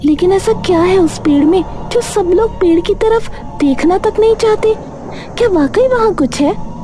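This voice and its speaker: Woman playing high-voiced curious young girl. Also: expressive, moderate pace. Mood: curious, defiant. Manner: earnest, questioning storybook dialogue. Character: curious, determined young village-visiting girls.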